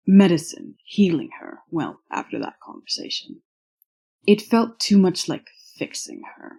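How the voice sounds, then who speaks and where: An actor playing a stiff, earnest young male student in an audio drama, with a formal, precise way of speaking and a husky voice. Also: mid-range voice, big pitch swings, a little mellow, full character voice. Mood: earnest, firm. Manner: formal, measured, precise wording without contractions. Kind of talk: audio drama acting of fan fiction.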